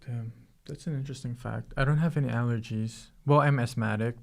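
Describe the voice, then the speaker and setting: A man's voice: medium pitch, fairly even intonation, a little fast, gravelly, a little mellow. A young man in his twenties from the Philippines with a light Filipino accent, chatting casually as co-host of a talk show. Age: 20s. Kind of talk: casual chat.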